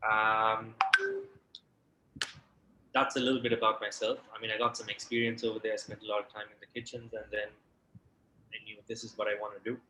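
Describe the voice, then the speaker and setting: Bright-voiced man, echoey video call. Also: fairly low pitch, a little fast, pauses often, slight background noise. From Sri Lanka. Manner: relaxed, many fillers and restarts. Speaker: restaurant founder and CEO. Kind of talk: webinar interview answers. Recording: echoey room with faint ambience.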